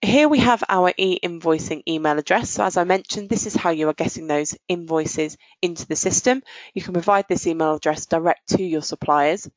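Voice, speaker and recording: A woman's voice: on the low side, brisk, no echo, rough recording. A woman giving a live product demonstration, explaining each step in an informal business tone. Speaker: software demonstrator. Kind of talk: live product demo walkthrough.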